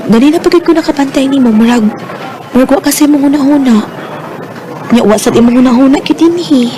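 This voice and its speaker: An actress playing an earnest, stubborn, heartbroken teenage daughter in a radio drama, with a Filipino Bisaya accent. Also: female voice, high-pitched, a little fast, dark tone, lightly acted. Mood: teasing, uneasy. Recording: noisy radio drama track.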